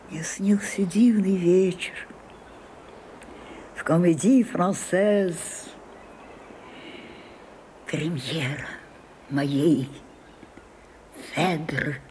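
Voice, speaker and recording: An older woman playing a proud, weary old French stage actress in a radio drama, declaiming broodingly and dropping into breathy whispers. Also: fairly low pitch, warm tone, a little hiss or hum. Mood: imperious, dreamy. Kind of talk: theatrical radio drama acting.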